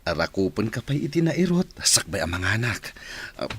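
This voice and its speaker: A man's voice: lowish voice, very animated, normal speed, breathy edge, dry recording. A man acting a middle-aged, ailing and affectionate husband and father with fading memory in an Ilocano radio drama, tender and emotional.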